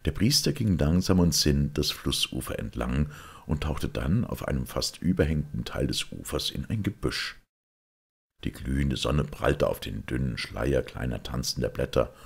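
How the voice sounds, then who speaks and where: A man reading a detective story as scripted literary narration, in a measured, dramatic manner. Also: rumbling low, vocal fry, slightly rough. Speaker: audiobook reader.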